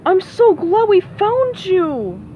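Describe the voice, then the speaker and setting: A woman's voice: very high-pitched, sing-song, takes it slowly, voice pushed up, exaggerated voice. A woman voicing a childlike, polite, bewildered male cartoon sea sponge in a children's storybook reading. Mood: polite, cheery. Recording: dull, noisy recording.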